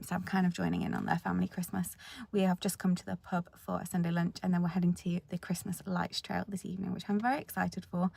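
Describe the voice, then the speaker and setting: A woman's voice: fairly low pitch, vocal fry, a little mellow. A young woman chatting candidly to camera in a casual diary-style vlog. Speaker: vlogger.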